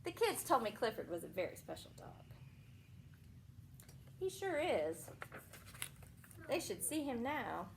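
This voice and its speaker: A woman with a fairly high voice reads a picture book to small children. Her intonation swings widely as she tells the story, and she steps out of it to explain words simply and ask questions. There is faint noise behind her. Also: very animated, measured pace, vocal fry, pauses often. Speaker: teacher reading aloud to young children. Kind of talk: animated picture-book read-aloud with asides and questions to children.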